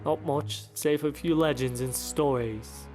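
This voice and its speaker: A man voicing a blunt, inquisitive young woman monster hunter from a video game, reading her lines in a measured, questioning way. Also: a little high, a little mellow, soft background music, close to an everyday voice. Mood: earnest. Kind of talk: reading game dialogue aloud.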